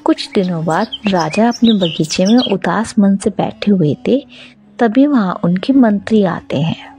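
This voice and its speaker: A woman's voice: medium pitch, lively intonation, measured pace, dark tone, husky, soft background music. A woman reading scripted narration for a cartoon fairy tale.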